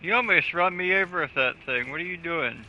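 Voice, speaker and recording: A man's voice: fairly high pitch, fairly quick, pushed and bright. A man streaming a video game, chatting casually with crude, offhand jokes. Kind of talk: casual gaming livestream chat. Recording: dull lo-fi mic with game music.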